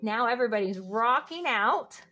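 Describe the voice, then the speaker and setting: A woman's voice: fairly high pitch, expressive, moderate pace, pushed and bright, soft background music. A woman reading a children's picture book aloud expressively, with sing-song refrains and little questions to young listeners. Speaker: read-aloud host.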